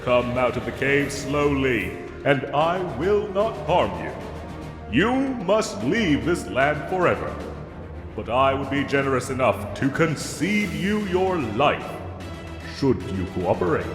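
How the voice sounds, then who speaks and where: Casting: male actor as gallant, condescending knight for fantasy audio drama; grand archaic diction, unhurried delivery, music bed. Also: mid-range voice, big pitch swings. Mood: haughty, commanding. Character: pompous, gallant male knight, condescending yet secretly soft-hearted. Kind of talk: scripted fantasy audio roleplay. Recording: echoey cave-like reverb, music underneath.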